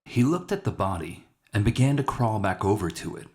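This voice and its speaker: A man's voice: on the low side, sing-song, a little fast, a little mellow. A man reading a scripted dramatic short story aloud as its narrator. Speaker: author narrating his own story.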